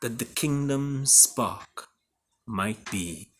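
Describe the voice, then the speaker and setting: Slow, theatrical Trinidadian man storytelling. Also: lively intonation, noisy background. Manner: theatrical, with booming emphasis and hushed drops. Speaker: author and storyteller. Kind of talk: dramatic storybook reading and introduction.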